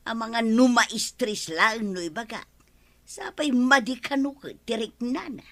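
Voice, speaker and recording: An actress with an Ilocano accent playing a gossipy village woman in a radio comedy drama, chatty and animated. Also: fairly high pitch, unhurried. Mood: scheming. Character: gossipy village woman fretting over unpaid money.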